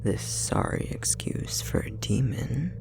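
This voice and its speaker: A man voicing a possessive, sadistic demon in an ASMR roleplay, whispering slowly with a breathy creak and taunting, mock-affectionate menace. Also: medium pitch, expressive. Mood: cold menace.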